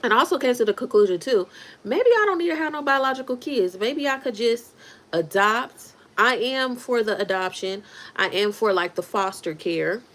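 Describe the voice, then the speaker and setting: A woman's voice: a little high, lively intonation, normal speed, a bit bright. A woman in her thirties chatting casually on a livestream, reacting to viewer comments as she talks. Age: 30s. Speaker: livestream host.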